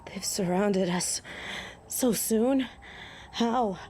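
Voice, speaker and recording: A woman's voice: medium pitch, drawn-out words, warm tone, a little hiss or hum. A woman playing a stubborn, hot-headed young female werewolf with a defiant, sarcastic manner in a romantic audio roleplay. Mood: pained, tense.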